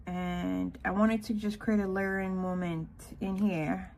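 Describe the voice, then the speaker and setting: A woman from Ghana giving a casual walkthrough narration in an unhurried way, with hesitant pauses. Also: medium pitch, little pitch movement, creaky, a little mellow, a little hiss or hum. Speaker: planner vlogger.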